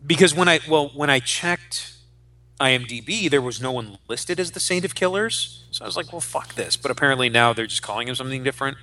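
Bright-voiced, emphatic man in his forties. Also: medium pitch, animated. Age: 40s. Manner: emphatic, halting with repeated phrases. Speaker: podcast co-host. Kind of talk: casual opinionated pop-culture chat.

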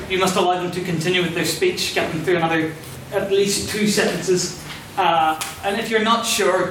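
A man chairing a university debate, giving polite, hesitant procedural reminders with many fillers. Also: fairly high pitch. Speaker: society president chairing debate. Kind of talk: procedural announcements. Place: large echoey debating hall. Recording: echoey hall, distant mic, noisy.

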